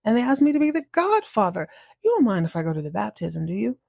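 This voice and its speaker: A woman voicing a sly male cat in a casually told story, slangy, glib and excitable. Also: mid-range voice, fast talker, gravelly, slightly rough, dry recording, clean studio sound, full character voice. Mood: excited, coaxing. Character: sly male cat, breezy, defensive fibber. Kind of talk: casual storybook character dialogue.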